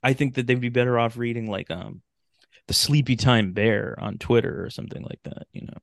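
A man in a casual podcast conversation, talking in a halting, rambling way and often restarting his phrases. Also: mid-range voice, vocal fry, no echo, studio quality.

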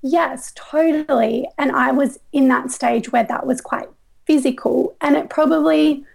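Raspy, gravelly young Australian woman. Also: high voice, measured pace. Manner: rambling, many ums and likes. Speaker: guest. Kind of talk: casual interview conversation.

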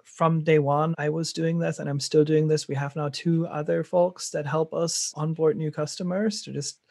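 A man's voice: fairly high pitch, level tone, normal speed, gravelly, a little mellow, no echo, clean studio sound. A middle-aged man from Germany with a German accent, a startup founder talking enthusiastically in an interview, rambling with frequent restarts. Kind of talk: conversational interview.

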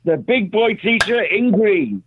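High-voiced man calling over a phone line. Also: very animated, slow pace, a loud crowd. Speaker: caller.

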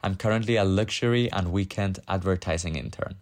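A man's voice: low-pitched, brisk. A young man in his twenties from Spain, an intern, introducing himself formally before a question.

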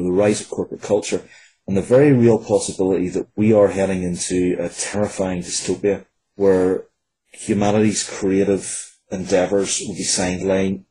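A man talks as a writer being interviewed. His voice is deep and dark, and he explains things in an earnest, wordy way with hesitant phrasing. There is slight noise on the recording. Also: low-quality recording.

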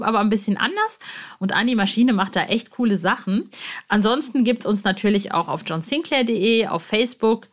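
A woman hosts a podcast in a medium-pitched voice. She addresses listeners directly in a chatty, informal way, using colloquial everyday phrasing. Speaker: podcast host.

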